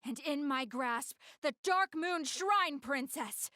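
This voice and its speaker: Pompous, high-voiced dark crusader girl. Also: animated, dry recording, studio quality, heavily acted. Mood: grandiose, ominous. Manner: pompous, declamatory, drawn-out. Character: grandiose dark-fantasy crusader girl wielding demonic powers. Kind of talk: theatrical anime game incantation.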